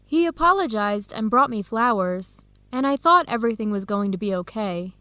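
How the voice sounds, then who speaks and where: A woman's voice: fairly high pitch, animated, moderate pace, pushed and bright, no room sound. A young woman acting an earnest, disheartened student in her early twenties in a radio drama, enunciating carefully for language learners. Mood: conflicted. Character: disheartened 22-year-old Ethiopian-American woman student, earnest, torn.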